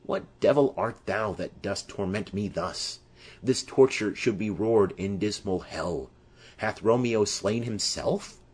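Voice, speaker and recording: A man's voice: on the low side, slow, darkish tone, breathy edge. A man voicing an impassioned, lovesick young noblewoman in declamatory Shakespearean verse for an audiobook. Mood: anguished. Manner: declamatory, poetic.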